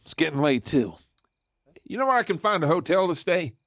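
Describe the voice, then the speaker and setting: A man's voice: on the high side, sing-song, brisk, fairly bright tone, no room sound, studio quality. A man playing a cocky, sly outlaw in a Western audio drama, with a teasing, theatrical manner. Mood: sly, curious. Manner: theatrical, teasing, flirtatious swagger. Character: cocky, sly male Western outlaw, smooth-talking schemer.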